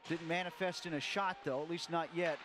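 High-voiced hockey play-by-play man. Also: fairly even intonation, noisy background. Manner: rapid calls that rise sharply on goals. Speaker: play-by-play announcer.

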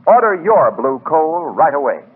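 A man's voice: sing-song, normal speed. A man with an American accent announcing formally and emphatically in scripted 1940s radio style. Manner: formal, emphatic pitchman delivery. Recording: muffled husky 1940s radio broadcast.

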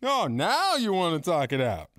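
A woman's voice: lowish voice, wildly swooping pitch, normal speed, fairly bright tone. A woman playing a menacing, vulgar male mobster with a mocking, swaggering manner in a crime comedy audio drama. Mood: smug threat.

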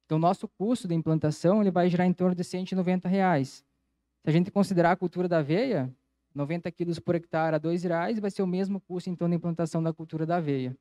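Male lecturer, slightly high mellow voice, methodical explainer presenting at a conference. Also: steady tone, fast, dry recording. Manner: explanatory, methodical, frequent "então" connectives. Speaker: agronomy lecturer. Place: auditorium stage, indoors. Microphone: handheld microphone.

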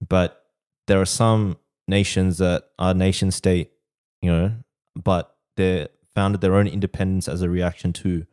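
Deep mellow guy on a podcast, bengali background, lots of um and you know. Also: flat delivery, measured pace, clean tone. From Bengali heritage. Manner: hesitant, many fillers, proposes counterpoints. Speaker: podcast co-host. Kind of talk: casual debate.

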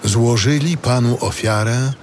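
A man narrating scripture in a solemn, deliberate storytelling style for a dramatic audio production. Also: medium pitch, slight background noise. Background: loud cinematic music and effects.

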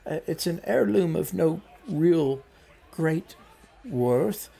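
A man's voice: on the high side, animated, takes it slowly, creaky, warm tone, breathy edge, faint music behind, voice pushed up, full character voice. A man voicing a scratchy-voiced old male quest giver who rambles hesitantly with many fillers. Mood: pleading.

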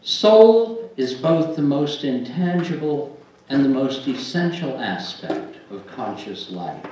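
An older man reading aloud at a church service in a deliberate, measured way, pausing between phrases. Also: lowish voice, darkish tone. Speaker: lay reader and book publisher. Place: church sanctuary, at a wooden pulpit. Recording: very echoey room, distant mic, faint noise.

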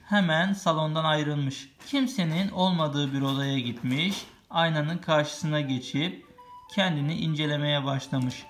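Low-voiced woman reading a children's story. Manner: explains and chats with the child while reading. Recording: music playing behind the voice. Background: music.

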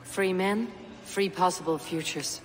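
Woman playing a low-voiced Viking warrior. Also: a little slow, music in the background, lightly acted, poor audio. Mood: pondering. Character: steady, blunt female Viking warrior.

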